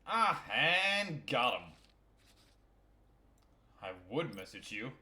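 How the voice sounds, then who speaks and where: Man voicing an earnest, animated teenage boy. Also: mid-range voice, drawn-out words, roomy sound, full character voice. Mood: wistful. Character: earnest, awkward teenage boy pining for a lost friend. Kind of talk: video game fandub acting.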